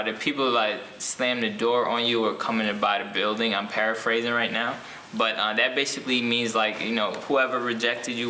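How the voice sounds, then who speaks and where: A young man from Ethiopia, an author answering interview questions casually, pausing often with ums and restarts. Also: lowish voice, pushed and bright, roomy sound, a little hiss or hum. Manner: hesitant, many ums and restarts.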